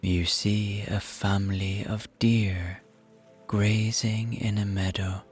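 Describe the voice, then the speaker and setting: A man narrating a scripted guided meditation in a soothing, hushed, gentle manner. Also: lowish voice, level tone, slow pace, soft background music.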